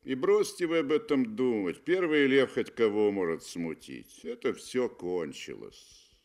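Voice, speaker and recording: A man's voice: mid-range voice, big pitch swings, measured pace, a little mellow, voice pushed up. A man voicing a cool, curt British male safari hunter in a radio reading, blunt, clipped and assured. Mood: brisk, reassuring.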